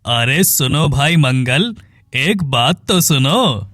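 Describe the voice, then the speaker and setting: Man playing sceptical village tease, taunting, mocking manner, drawn-out pace, cartoon story. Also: medium pitch, lively intonation, sharp tone, dry recording, soft background music, full character voice. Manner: needling, mocking questions.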